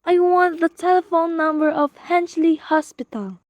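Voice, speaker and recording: A woman's voice: very high voice, fairly even intonation, normal speed, a little mellow, no room sound. A woman playing an anxious, demanding invalid wife in a radio play, dramatic, fretful and pleading. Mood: urgent, demanding.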